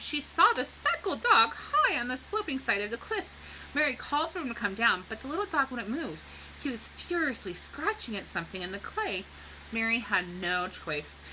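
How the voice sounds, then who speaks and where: Woman, children's read-aloud, lively swooping intonation, crisp forceful bright tone, teacherly, faint background noise. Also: sits high, poor audio. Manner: animated, dramatic emphasis, teacherly explanations. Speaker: storybook reader. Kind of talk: expressive children's read-aloud with explanatory asides.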